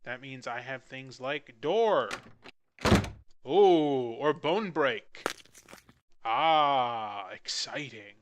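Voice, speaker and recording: A man's voice: mid-range voice, animated, very slow, long pauses, lo-fi sound, slight background noise. A young man narrating a casual editing tutorial in an offhand, self-deprecating way. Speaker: audio drama editor giving a tutorial.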